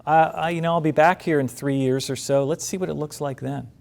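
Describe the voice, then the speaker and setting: A man's voice: a little high, steady tone, a little fast, vocal fry, darkish tone. A man who is a college president, giving an informal, conversational speech to alumni. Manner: conversational, self-deprecating, with restarts. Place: large meeting room.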